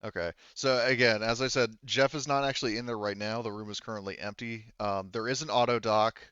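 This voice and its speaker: A man's voice: fairly low pitch, steady tone, fairly quick, a bit bright, smooth, dry recording, lo-fi sound. A man speaking as game master in a casual, rambling way, explaining rules and describing scenes and often correcting himself.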